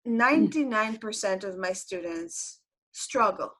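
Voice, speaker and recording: A woman's voice: mid-range voice, expressive, measured pace, a bit bright, poor audio. Chatty woman teaching online. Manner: chatty, repeats words, asks students questions. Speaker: Hebrew teacher. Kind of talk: live online class. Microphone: computer mic on video call.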